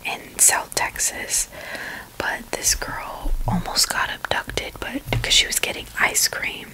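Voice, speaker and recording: A woman's voice: slow, bright, forceful tone, low-quality recording. A young woman whispering a casual, rambling ASMR chat in a hesitant way, with phrases that trail off. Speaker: ASMR video creator.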